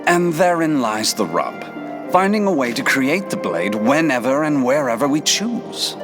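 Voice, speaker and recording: A man playing a thoughtful, measured adviser in a fantasy game. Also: medium pitch, big pitch swings, over music. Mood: pensive. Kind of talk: fantasy game cutscene acting.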